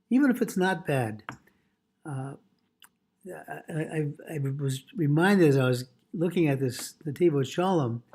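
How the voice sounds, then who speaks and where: Mellow-voiced man teaching scripture. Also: on the high side. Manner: explanatory, repeats and rephrases each point. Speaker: rabbi teaching a religious text. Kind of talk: Torah lesson, reading aloud and explaining line by line.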